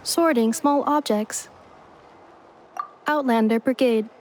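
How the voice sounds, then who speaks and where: A woman playing a polite, literal robot maid in a video game, speaking in deadpan, clipped announcements. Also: a little high, slow, a little hiss or hum. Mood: dutiful. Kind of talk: video game character acting. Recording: game music behind.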